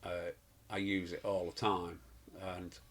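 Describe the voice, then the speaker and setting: Husky middle-aged man. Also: low-pitched, level tone, slow. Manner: rambling, anecdotal, many fillers and restarts. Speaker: pantomime performer and guest. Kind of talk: casual interview chat.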